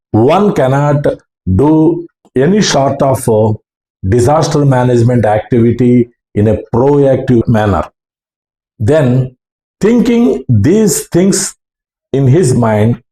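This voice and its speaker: A man with an Indian English accent speaks slowly in a roomy, echoey space. His phrasing is formal and halting, with a mellow tone, as he tells a first-person account. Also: medium pitch. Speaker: ham radio operator recounting experiences. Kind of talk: slow first-person storytelling talk.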